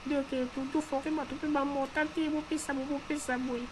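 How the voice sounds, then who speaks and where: A woman's voice: sits high, level tone, normal speed, close to an everyday voice. A woman voicing an earnest, insistent cartoon character in conversational dialogue, often repeating herself. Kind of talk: conversational cartoon dialogue in Lingala mixed with French. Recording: noisy background hum.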